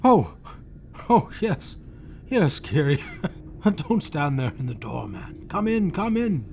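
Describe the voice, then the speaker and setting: An actor playing a panicked, guilty husband in 1940s radio horror style, melodramatic, stammering and dropping into breathless whispers. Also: male voice, a little high, sing-song, slow, gravelly, a little hiss or hum, full character voice. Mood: nervous, evasive. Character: panicked guilty husband confessing his crime. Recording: old 1940s radio broadcast, loud music behind.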